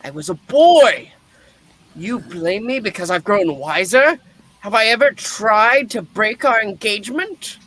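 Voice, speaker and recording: Man acting a melodramatic old miser, high-pitched, slow, defensive, stage-play reading. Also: animated, a bit bright, slight background noise, rough recording. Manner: melodramatic, exclamatory. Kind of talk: theatrical amateur play reading.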